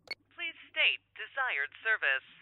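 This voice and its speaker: A woman's voice: medium pitch, very animated, slow pace, a little hiss or hum. A woman voicing an impersonal automated directory operator in an audio drama, with clipped mechanical phrasing through a telephone filter. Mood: impersonal, flat. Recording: dull, band-limited telephone line.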